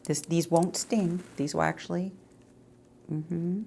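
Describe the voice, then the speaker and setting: A woman speaks in a soft ASMR roleplay style as an eye doctor. Her voice sits a little low and has a strong creak. She explains things in a reassuring, conversational manner, with faint noise behind her. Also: fairly even intonation, slow. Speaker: ASMR creator role-playing an eye doctor.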